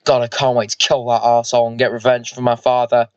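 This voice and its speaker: A man's voice: mid-range voice, level tone, fast, smooth, dry recording, highs cut off, close to an everyday voice. A man voicing an eager, vengeful squad leader and mech pilot in an amateur sci-fi story, informal and explanatory. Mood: determined, vengeful.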